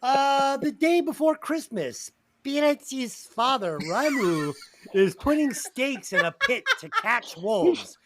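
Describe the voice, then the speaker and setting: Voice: high-pitched, big pitch swings, slow pace, creaky, a bit bright, a little hiss or hum. A podcast co-host in casual comedy movie-review chat, reading out plot summaries and swearing casually.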